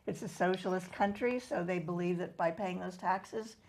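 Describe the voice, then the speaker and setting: Gravelly woman explaining, casual chat. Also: lowish voice, steady tone, normal speed, a little mellow. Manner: explains at length with many ums. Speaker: co-host.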